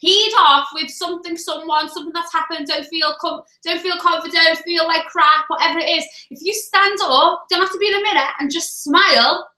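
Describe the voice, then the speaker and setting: A young woman co-hosting a live stream, talking loudly and energetically and laughing often. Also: extremely high pitch, fairly even intonation, brisk, hardly any pauses, echoey room. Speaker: co-host of a live business coaching stream. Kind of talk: casual live-stream chat.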